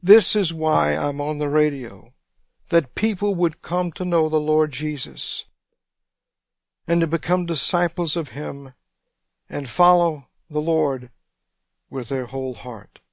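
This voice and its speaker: A man preaching a radio sermon, congested, reading scripture slowly and deliberately. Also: fairly high pitch, vocal fry, no echo, studio quality.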